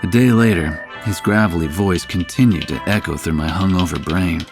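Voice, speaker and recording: A man playing a cynical, world-weary war reporter in a video game, narrating in a measured, wry way. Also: low voice. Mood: somber. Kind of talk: scripted first-person narration. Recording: music behind the voice. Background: prominent background music.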